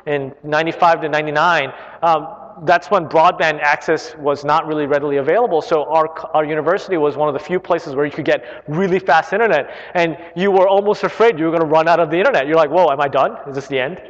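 A man's voice: fairly high pitch, fast talker. A man in his thirties, from Korea and not a native English speaker, answering audience questions in a casual, chatty way full of "right?" and "uh". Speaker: internet company chief executive. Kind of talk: live Q&A talk. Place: large public library hall. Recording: dull hall recording with long reverb.

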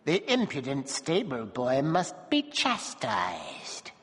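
A man voicing a tiny, cold, vengeful male circus dwarf who speaks grimly and slowly, in a storybook reading. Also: medium pitch, huge pitch swings, fairly bright tone, husky, in a large hall, full character voice. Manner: grim, slow and measured.

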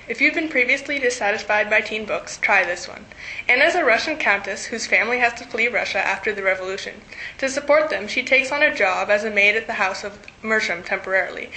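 A woman's voice: mid-range voice, sharp tone, low-quality recording. A teenage girl reading a scripted book review aloud. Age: teens. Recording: faint background noise.

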